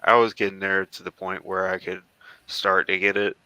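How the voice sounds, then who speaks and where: An American man in his thirties chatting casually as he talks through old photos, halting and rambling as he goes. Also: low voice, little pitch movement, bright, forceful tone, smooth, no echo, poor audio. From southeastern United States. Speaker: guest, former Marine air traffic controller.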